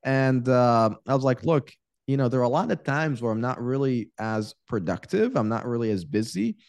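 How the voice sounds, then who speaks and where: A man's voice: medium pitch, expressive, normal speed, darkish tone, no echo. Frank young man, dry close sound. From Iraq. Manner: candid, frank, repeats words while thinking aloud. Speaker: podcast guest, real estate investor. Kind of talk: casual interview storytelling.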